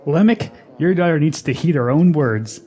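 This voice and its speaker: A man's voice: on the high side, animated, normal speed, dark tone, lightly acted. A man playing a boastful, lovesick young would-be warrior in a fantasy radio drama, emphatic and eager. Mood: taunting.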